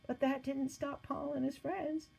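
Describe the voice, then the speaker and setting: A woman reading a scripted story aloud as narrator, with expressive delivery. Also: sits high, measured pace, gravelly. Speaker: storybook reader.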